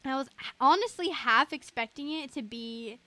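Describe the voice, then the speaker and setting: A young woman with a fairly high voice hosts a casual game livestream. She talks in a chatty, gushing manner. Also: animated, moderate pace, bright, forceful tone, no room sound.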